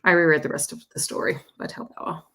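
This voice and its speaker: A woman's voice: low-pitched, steady tone, fast, bright, forceful tone. A woman talking casually as a panelist in a live book club chat.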